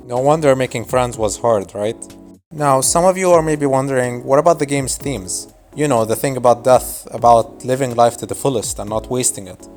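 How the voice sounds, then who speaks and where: A man's voice: medium pitch, darkish tone. A man in his twenties whose first language is Arabic, narrating a scripted video essay in a casual, wry, nostalgic way. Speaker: YouTube narrator.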